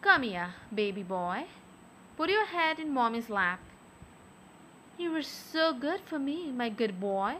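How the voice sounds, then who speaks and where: A young adult woman speaking softly and close to the mic in an intimate audio roleplay, with a teasing, coaxing manner. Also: fairly high pitch, big pitch swings, a little hiss or hum. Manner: soft, slow, teasing and coaxing.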